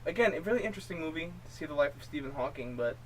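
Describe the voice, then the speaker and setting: A man's voice: fairly high pitch, expressive, very fast. A man co-hosting a film review show, chatting casually and explaining his opinions. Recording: distant mic, faint room noise.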